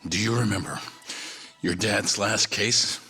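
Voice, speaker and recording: A man's voice: fairly low pitch, a little slow, music in the background, natural voice. An actor playing a stern, protective mentor lawyer in a video game, blunt and insistent in his admonishing. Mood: firm, urging. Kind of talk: video game cutscene acting.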